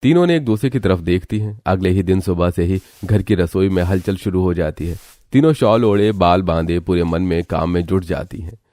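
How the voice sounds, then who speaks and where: A man narrates a scripted story in a low, dark-toned voice. The recording is close and dry, with the delivery of a storyteller. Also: moderate pace, no room sound.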